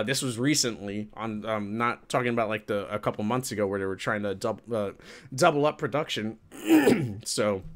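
A man, hosting a livestream and chatting casually with viewers in an animated, colloquial way. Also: medium pitch. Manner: animated, colloquial, reacting to chat. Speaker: livestream host. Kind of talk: casual chat with live viewers. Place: indoor room with green screen.

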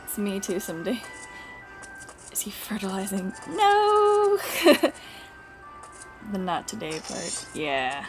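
A woman's voice: medium pitch, wildly swooping pitch, takes it slowly, pauses often. Playful woman streamer over game music. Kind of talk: casual gaming commentary, reading game dialogue aloud.

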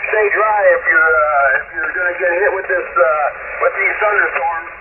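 A high-pitched man's voice comes through a thin, narrow shortwave signal drowned in loud noise. He chats casually and folksily, often repeating himself. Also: normal speed. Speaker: amateur radio operator, trucker. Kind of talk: casual radio sign-off chat.

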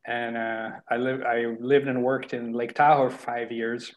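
A man's voice: medium pitch, little pitch movement, unhurried. A man from Spain in his forties, a podcast guest telling his life story on a video call with headphones. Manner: laughs often, self-deprecating. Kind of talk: casual storytelling. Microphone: headset on video call.

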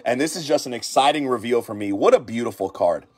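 A man runs a card-reveal stream from his shop desk, his voice sitting at an ordinary middle pitch. His excitement keeps building as he repeats his praise over and over. He sounds like a regular host talking to his viewers. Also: fast. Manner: hyped, repeats himself.

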